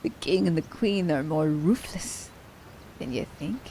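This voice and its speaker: A woman playing a cunning, haughty immortal assassin in an ASMR audio drama, speaking in theatrical, formal diction, breathy and often dropping toward a whisper. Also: sits low, lively intonation, unhurried, warm tone, slight background noise. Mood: scheming, disdainful. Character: immortal female assassin, cunning, teasing, haughty, romancing her captive.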